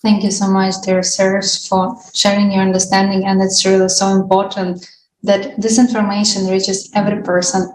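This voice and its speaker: A woman's voice: medium pitch, darkish tone. A woman hosting and putting questions to a guest in a formal interviewing style. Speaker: host. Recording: echoey room with background noise.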